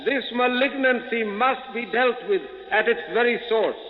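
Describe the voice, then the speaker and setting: An actor playing a grave, commanding old spymaster, issuing orders in formal, imperious, deliberate phrases in a 1940s radio adventure. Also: male voice, very high voice. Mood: stern, commanding. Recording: muffled old radio recording, cavernous reverb.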